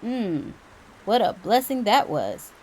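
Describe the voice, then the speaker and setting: A woman reading a children's story aloud with expressive, dramatic emphasis. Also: medium pitch, slow, rough recording. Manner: animated, dramatic emphasis for young listeners.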